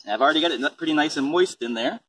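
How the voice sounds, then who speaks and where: A man's voice: a little high, racing, a bit bright, husky. A man reading a picture book aloud and chatting casually, explaining things simply for a small child. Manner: simple explanations and questions aimed at a young child. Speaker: storybook reader and parent explaining composting. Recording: distant microphone, faint background noise.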